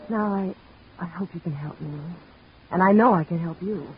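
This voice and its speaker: A woman playing a plucky, no-nonsense young woman in a 1940s radio drama, brisk and capable. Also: on the low side, natural voice. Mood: earnest. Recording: muffled old radio recording with faint hiss.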